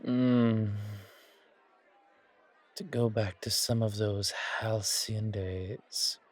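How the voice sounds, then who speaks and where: A man playing a suave, centuries-old vampire in a horror ASMR roleplay, languid and genteel with quiet menace and a husky, breathy voice. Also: fairly low pitch, fairly even intonation, extremely slow. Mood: wistful, indulgent.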